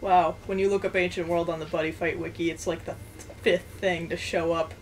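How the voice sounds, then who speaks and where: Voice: mid-range voice, little pitch movement, fairly quick. A co-host chatting casually, recapping and commenting in an informal, blunt and sarcastic way. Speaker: co-host of a card game anime review.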